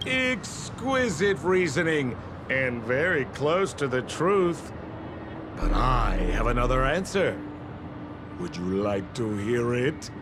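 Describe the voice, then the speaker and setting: A man playing a suave, flattering rogue in a video game dub, smooth-talking, theatrical and deliberate. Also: medium pitch, background noise. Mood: amused, flattering. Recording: music behind. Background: music.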